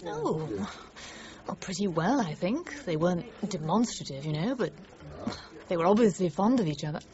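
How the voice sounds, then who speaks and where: Woman playing a mellow, guarded office manager. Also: on the low side, animated, moderate pace, muffled recording, natural voice. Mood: guarded, polite. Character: articulate, guarded woman personnel manager being questioned. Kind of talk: radio crime drama acting.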